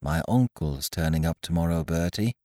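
A man reading aloud, voicing a hard-up young gentleman who talks in a gloomy, rueful, chatty way. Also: low voice, expressive, normal speed, no echo, studio quality, voice pushed down.

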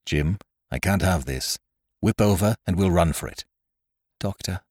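A man's voice: deep voice, a little slow, a little mellow, breathy edge, dry recording, studio quality. A man voicing a grave, sad old doctor. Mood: urgent. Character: stern, kindly older male doctor.

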